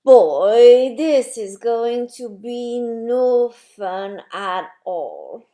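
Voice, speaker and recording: A woman's voice: on the high side, sing-song, very slow, dark tone, heavily acted. A woman voicing an eager, curious, childlike little soul in a storybook, earnest and full of wondering questions. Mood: grumbling, sad. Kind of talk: storybook character reading.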